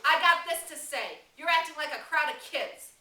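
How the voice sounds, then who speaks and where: A woman voicing an earnest, indignant schoolboy from a novel, shouting insistently to be heard. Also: high-pitched, normal speed, pitched above the performer's own voice. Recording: roomy, distant mic, faint noise.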